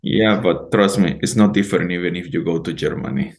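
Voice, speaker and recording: A man from El Salvador, a guest on a podcast, speaking in a measured way and hedging his statements. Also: low-pitched, creaky. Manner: measured, with hedges like "let's say" and "I would say". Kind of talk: casual interview.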